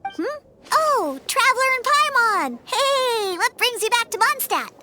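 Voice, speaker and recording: A little cat girl with a very high, childlike voice and lively intonation. She is earnest and a touch huffy, in game dialogue with music behind. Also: measured pace, full character voice. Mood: curious. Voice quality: childlike, girlish. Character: young cat-eared girl bartender, earnest and a little prickly. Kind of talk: video game character dialogue.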